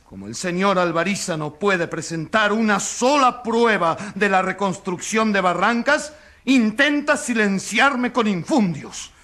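An actor playing an indignant crusading journalist in a radio courtroom drama, accusing a corrupt leader in impassioned, declamatory speech. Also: male voice, sits high, a little slow, sharp tone. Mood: earnest, accusing. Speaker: accuser in a trial. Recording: crowd clamour behind the voice.

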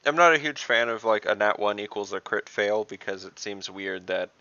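A man's voice: fairly low pitch, moderate pace, sharp tone, dry recording. A young man playing a tabletop game over a video call, talking through his moves step by step. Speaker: player. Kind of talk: casual tabletop game talk. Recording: dull lo-fi call audio.

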